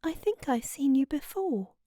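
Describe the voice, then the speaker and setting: A woman's voice: sits high, expressive, a little slow, warm tone, no room sound, voice pushed up. A woman voicing a dreamy but sensible young girl in a children's storybook, earnest and questioning. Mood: dreamy, contented.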